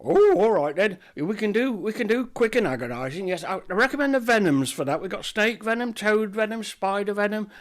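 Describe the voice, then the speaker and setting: A man's voice: sits high, animated, brisk, hardly any pauses, pitched above the performer's own voice. A man voicing a fussy, chatty male apothecary who cheerfully sells poisons with eager sales patter. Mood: chirpy, salesy.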